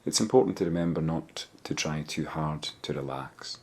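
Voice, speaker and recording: A man leading a guided body scan meditation, giving gentle, measured instructions with pauses. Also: extremely low pitch.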